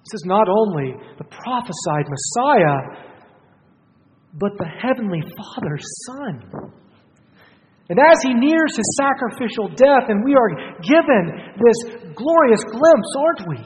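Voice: mid-range voice, sing-song, slow, a little hiss or hum. A preacher delivering a solemn sermon with emphatic, rhetorical phrasing. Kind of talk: sermon with scripture reading. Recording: long hall reverb.

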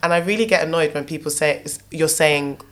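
A young woman with a notably low voice and a southern English accent. She talks candidly and casually as a guest in conversation. Her phrasing is colloquial and she often checks that she is being followed. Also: a little fast. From Kent, England. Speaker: interview guest.

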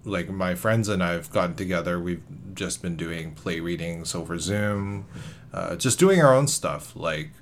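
A man's voice: deep voice, measured pace, a little hiss or hum. A man who is an actor, interviewing a guest in a casual, easygoing chat with curious questions.

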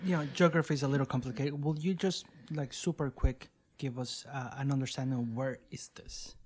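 A co-host of a podcast asking halting, searching questions in an interview. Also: mid-range voice, fairly even intonation, a little mellow.

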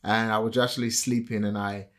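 A man's voice: on the low side, little pitch movement, a little fast. A man from Nigeria whose first language is not English, co-hosting a podcast in an upbeat, motivational way with frequent fillers. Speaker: podcast co-host.

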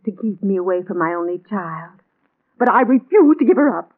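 A woman's voice: mid-range voice, lively intonation, brisk, close to an everyday voice. An actress playing a haughty, suspicious older mother-in-law who stammers when alarmed, in 1940s radio thriller style. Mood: haughty, accusing. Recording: narrow, tinny 1940s radio recording.

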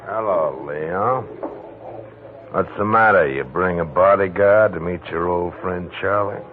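An actor playing a smug, menacing male gangster just out of prison, taunting in a smooth way, in an old radio drama. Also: sits low, measured pace, a little hiss or hum. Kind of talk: 1940s radio acting. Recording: tinny old radio broadcast.

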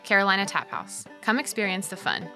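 A woman's voice: medium pitch, huge pitch swings, brisk, pushed and bright, soft background music. A woman reading a scripted radio commercial.

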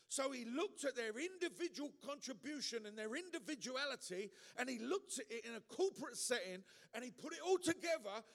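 Male pastor, high-pitched voice, emphatic colloquial sermon delivery, hall reverb. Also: fairly bright tone. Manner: emphatic, colloquial, with rhetorical questions and calls for amen. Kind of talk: passionate sermon preaching.

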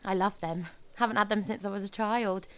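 A woman's voice: mid-range voice, fairly even intonation, brisk, smooth, lightly acted. A woman acting a frank, self-assured young British girlfriend in an audio play, patient, firm and matter-of-fact. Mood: friendly.

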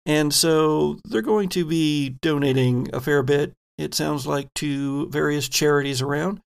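A man's voice: on the high side, fairly even intonation, unhurried, vocal fry, clear, resonant tone. A man hosting as game master, narrating a tabletop horror role-playing game in a dramatic storytelling manner. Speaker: game master, podcast host.

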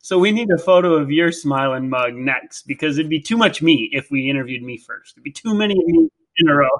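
Man, 30s, Midwestern accent, bright voice, podcast interviewer, rambling, lots of ums. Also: a little high, lively intonation, fairly quick, poor audio. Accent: Midwestern American. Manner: rambling, hesitant, filler-heavy.

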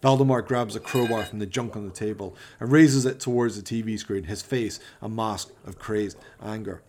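A man's voice: on the low side, moderate pace, a little mellow, slight background noise. A man narrating a comic horror radio play in a melodramatic, ominous storytelling manner.

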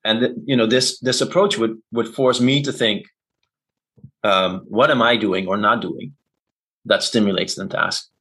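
A man's voice: fairly low pitch, steady tone, moderate pace, a bit bright. A man, a non-native English speaker, being interviewed as an intercultural communication consultant and explaining ideas through examples and tag questions. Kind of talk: conversational interview.

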